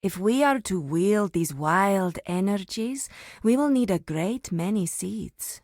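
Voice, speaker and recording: Solemn female grove warden, fantasy game, slow delivery, commanding, formal, dry close recording. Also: mid-range voice, expressive, a little mellow, no echo. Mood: approving, calm. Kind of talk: scripted fantasy game dialogue.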